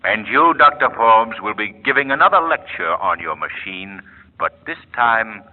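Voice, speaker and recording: A commanding gentleman crime-fighter whose delivery swings with animated pitch. His voice is a little husky and sure of itself. It all comes through a tinny, phone-thin old radio sound. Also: moderate pace, no room sound. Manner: urgent, assured, authoritative. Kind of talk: 1940s radio acting.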